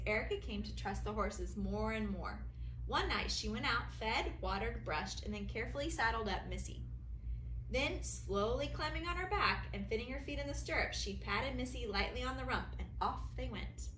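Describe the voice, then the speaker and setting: A woman teacher reading a children's story aloud with expressive, deliberate storytelling. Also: on the high side. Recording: roomy echo, faint background noise.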